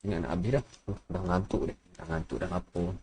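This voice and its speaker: A Malaysian man streaming a game in a low, soft-edged, relaxed voice. He mutters short, casual remarks to himself. The home recording has a hissy noise behind him. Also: quick pace. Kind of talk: casual live gaming commentary.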